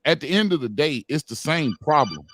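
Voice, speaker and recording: A man's voice: a little high, expressive, a little fast, noisy background. A man in his forties talking earnestly in a heated group podcast discussion, repeating phrases for emphasis. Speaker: podcast panelist.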